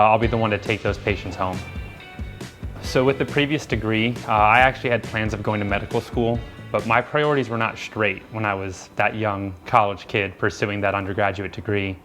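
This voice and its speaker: A man's voice: fairly low pitch, a bit bright. A young man, a nursing student, speaking earnestly and reflectively in an interview, with frequent fillers. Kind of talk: documentary interview. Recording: music playing underneath.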